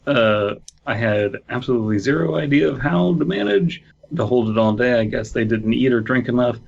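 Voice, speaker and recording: A giggly man reads a story aloud on a podcast, cracking jokes between lines. His voice sits at an ordinary pitch and comes over a dull, muffled online call line. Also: expressive, normal speed, vocal fry, a little hiss or hum. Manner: giggly, breaks into laughter while reading. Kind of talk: casual comedic banter and story reading. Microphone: online call mic.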